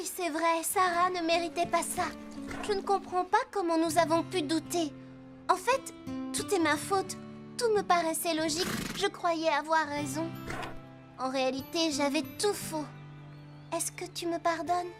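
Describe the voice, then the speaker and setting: Bossy young girl, extremely high pitch, assertive, expressive, French cartoon, music underneath. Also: unhurried. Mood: contrite. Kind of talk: French cartoon dub acting.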